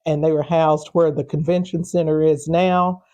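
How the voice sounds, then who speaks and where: A woman speaking off the cuff as an interview guest, pausing mid-phrase and repeating words as she goes. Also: low-pitched, level tone, warm tone. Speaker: interview guest, historical preservation group organizer. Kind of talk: unscripted interview talk.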